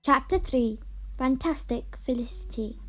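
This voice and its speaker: A woman's voice: high-pitched, measured pace, fairly bright tone, faint music behind. A very young female reader giving a scripted fairy-tale narration from a storybook. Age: child or very young.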